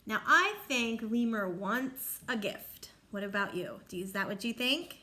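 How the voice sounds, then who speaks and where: High, bright-voiced woman reading to children. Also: animated, measured pace. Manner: instructive, asks listeners questions. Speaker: teacher reading aloud to children. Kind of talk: picture-book read-aloud with explanations.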